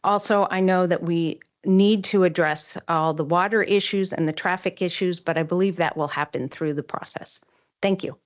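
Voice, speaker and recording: A middle-aged woman giving a prepared public comment to a city council, measured and citing figures earnestly. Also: fairly low pitch, fairly even intonation.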